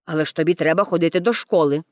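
A woman's voice: mid-range voice, big pitch swings, unhurried, dry recording, studio quality. A woman voicing a patient, insistent policeman in a storybook, reasoning with mild condescension. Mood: patiently insistent.

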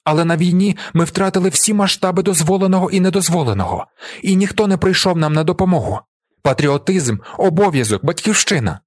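Young male veteran, acted by a man reader: earnest, declamatory, impassioned plea, animated and husky. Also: sits high, a little fast, no room sound, studio quality, voice pushed up. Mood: impassioned, bitter. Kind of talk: dramatized audiobook character.

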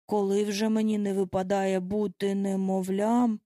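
A woman voicing a downhearted, hesitant little boy in a slow, plaintive, sighing manner for a storybook. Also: medium pitch, fairly even intonation, warm tone, dry recording, studio quality, close to an everyday voice. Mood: mournful, pleading.

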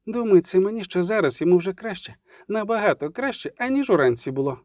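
A man voicing a blunt, hot-tempered woman in a fantasy audiobook, gruff and curt. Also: high voice, lively intonation, fast talker, a little mellow, no room sound, clean studio sound, voice pushed up. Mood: muttering.

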